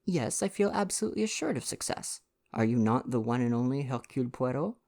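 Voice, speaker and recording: Man acting eager storybook gentleman, cheerful, buoyant, emphatic, swooping lively intonation. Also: medium pitch, fairly quick. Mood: cheerful, confident.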